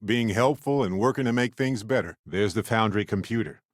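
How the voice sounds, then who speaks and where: A man playing an affable, chatty space explorer in a video game, with a wry, conversational and expressive delivery. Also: lowish voice, moderate pace, no room sound, clean studio sound, lightly acted. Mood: easygoing. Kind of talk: video game character voice lines.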